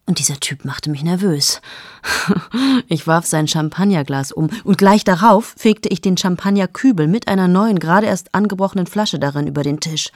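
A woman playing a husky, low-voiced confessing journalist. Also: no echo, studio quality, lightly acted. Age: 30s. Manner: intimate, confessional storytelling, often dropping to a whisper. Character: restless female journalist, late thirties, confessing. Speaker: narrator of a radio play monologue. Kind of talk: radio drama monologue.

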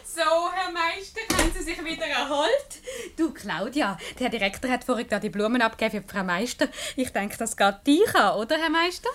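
Teasing, higher-voiced Swiss woman. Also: fairly quick, few pauses, fairly bright tone. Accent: Swiss German. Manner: teasing, self-possessed. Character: clever, teasing, confident young woman, wife's scheming friend. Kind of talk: comedic radio play acting.

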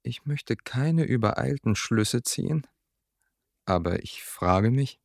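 A young actor dubbing a polite, formal young man gently courting a woman. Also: mid-range voice, expressive, takes it slowly, vocal fry, no echo, clean studio sound, heavily acted. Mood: courteous. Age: young adult. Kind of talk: film dub.

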